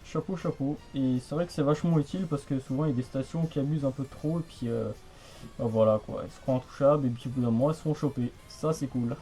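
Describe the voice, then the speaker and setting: A man's voice: medium pitch, quick pace, dark tone, soft background music. A man chatting casually on the radio in a rambling, hesitant, repetitive way. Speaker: amateur radio hobbyist.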